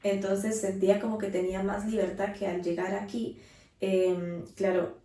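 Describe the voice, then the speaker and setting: A young Colombian-accented woman co-hosts a casual podcast. Her tone is soft-edged and mellow, with little rise and fall in pitch. She sounds set back from the mic in an echoey space. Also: little pitch movement, normal speed. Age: 20s. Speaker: podcast co-host. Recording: roomy echo, distant from the mic.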